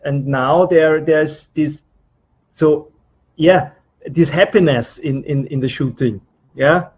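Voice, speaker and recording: A man from Austria with an Austrian accent answering interview questions hesitantly, repeating words as he searches for the next. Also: a little high. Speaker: trainee being interviewed, business coach. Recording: dull, lo-fi sound.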